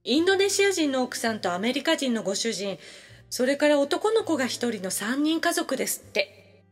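A woman reading scripted practice sentences for language learners, with clear, instructional phrasing. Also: high voice, big pitch swings, normal speed, in a large hall, soft background music. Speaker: language-lesson reader.

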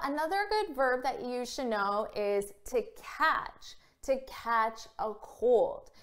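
A woman in her thirties teaches English vocabulary straight to camera in a small indoor room, sounding slightly high. She speaks slowly and clearly, acting out each example phrase with care. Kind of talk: scripted vocabulary lesson to camera. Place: small indoor room against a plain wall. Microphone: camera framed at chest height.

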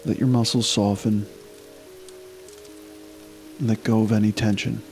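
A man leading a guided meditation, giving slow, unhurried instructions with long pauses. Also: on the low side, little pitch movement, darkish tone, soft background music.

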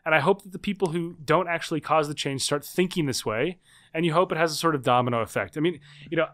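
Fast-talking male author guest. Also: on the high side. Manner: fast, animated talk with many restarts. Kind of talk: casual long-form interview.

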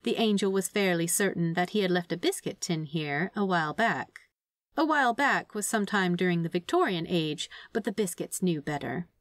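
A woman reading fan fiction aloud as an expressive, scripted story narration. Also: lowish voice, moderate pace, no room sound.

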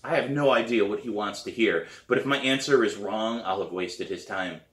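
A middle-aged man reading his novel aloud as a storybook narrator, with an expressive, dramatic delivery. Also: fairly low pitch, moderate pace. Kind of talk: storybook read-aloud, first-person narration. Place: small living room, indoors. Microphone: camera a few feet away. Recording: roomy, echoey living room.

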